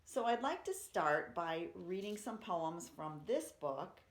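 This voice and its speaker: A woman's voice: on the low side, big pitch swings, slow pace. A woman reading poetry aloud for children and chatting between poems, explaining simply and reading expressively. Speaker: library story-time reader. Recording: roomy, echoey room sound.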